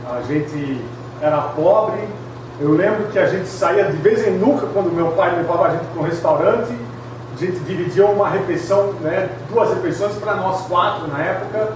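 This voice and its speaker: A middle-aged Brazilian man preaching at a retreat, telling personal stories in a chatty, humorous way with frequent tag questions. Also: high voice. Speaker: pastor, retreat speaker. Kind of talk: humorous personal testimony sermon. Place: large echoey hall at a retreat. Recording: very echoey hall, distant mic, background noise.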